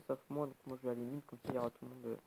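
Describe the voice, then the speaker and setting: A man's voice: medium pitch, level tone, racing, vocal fry, background noise. Brief: young male gamer, a bit congested, casual rambling play-by-play with "hop, bam" sound words. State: has a cold, sniffly. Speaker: gaming YouTuber. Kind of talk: casual gameplay commentary.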